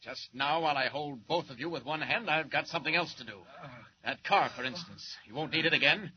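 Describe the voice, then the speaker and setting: An actor playing a confident superhero in a 1940s radio drama, calm, commanding and politely taunting. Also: male voice, on the high side, a little fast, close to an everyday voice. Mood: cool, mocking. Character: confident male superhero, coolly mocking wrongdoers. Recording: muffled noisy old radio recording.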